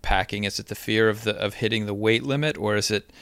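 A man hosts the interview, asking brisk, attentive questions in a low, gravelly voice. The sound is close and dry. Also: steady tone, a bit bright, no echo. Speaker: interviewer host. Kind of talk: conversational interview.

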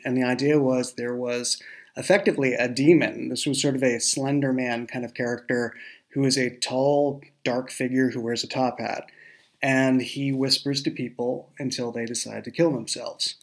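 A man with a creaky, mid-pitched voice talks casually as a show's creator. His explanations are halting, with frequent self-corrections. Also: little pitch movement. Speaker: podcast creator and writer. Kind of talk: casual behind-the-scenes chat.

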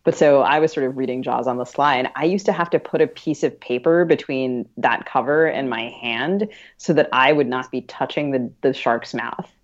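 A woman's voice: extremely low pitch, normal speed, lo-fi sound. A woman hosting a podcast in a conversational interview style. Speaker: podcast host.

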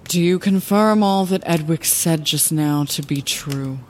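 Woman acting a stern, condescending councilwoman, low-voiced. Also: a little slow, darkish tone, a little hiss or hum. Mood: formal, impatient. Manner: formal, curt, dismissive. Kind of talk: fantasy audio drama.

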